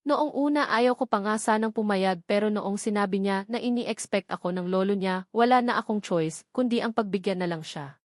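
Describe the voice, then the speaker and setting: A woman's voice: medium pitch, moderate pace, dry recording, studio quality. A woman with a Filipino accent reading a scripted first-person romance novel as an audiobook narrator.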